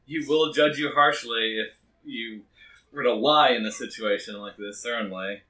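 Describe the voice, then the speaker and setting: A man's voice: mid-range voice, moderate pace, bright, forceful tone. A man acting as game master, narrating a tabletop game and joking with the players. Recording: roomy, slightly distant mic.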